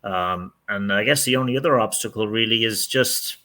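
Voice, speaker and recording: Deep-voiced, forceful middle-aged man. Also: moderate pace. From outside the United States. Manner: candid, rambling, with frequent restarts. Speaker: real estate team leader, podcast guest. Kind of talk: casual interview answers.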